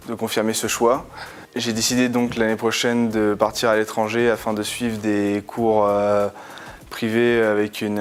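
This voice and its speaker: Young male student, very monotone and slow, halting careful testimonial delivery. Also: on the low side, smooth. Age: young adult. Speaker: student back from internship abroad. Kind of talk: interview testimonial.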